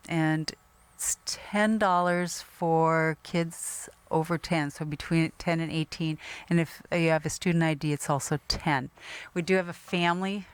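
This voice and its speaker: Woman, Norwegian first language, club president, smooth clear voice, relaxed chatty delivery. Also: low voice, no room sound. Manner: chatty, many fillers, laughs easily. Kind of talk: casual interview chat.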